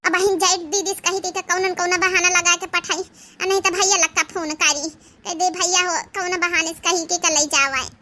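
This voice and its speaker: A woman's voice: very high-pitched, steady tone, fairly quick, sharp tone. A woman voicing an aggrieved, scheming young village housewife in a homemade comedy cartoon, with an Awadhi accent and a dramatic, complaining manner. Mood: indignant.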